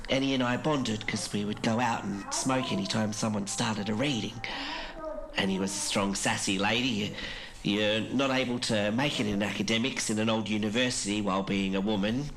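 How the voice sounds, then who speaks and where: A woman playing a frank, irreverent woman, reminiscing haltingly in a podcast interview. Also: very deep voice, a bit bright. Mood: fond, reminiscing. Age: 90s. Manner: blunt, hesitant recollection with frequent pauses. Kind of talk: interview-style podcast drama. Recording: clearly noisy interview recording.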